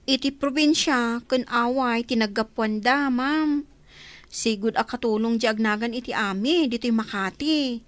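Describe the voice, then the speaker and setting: Woman playing a fairly high-voiced, evasive housemaid. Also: a little slow, no echo, close to an everyday voice. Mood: defensive, deferential. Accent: Ilocano. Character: experienced housemaid, evasive, guarded, deferential to her madam. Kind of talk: village comedy radio drama.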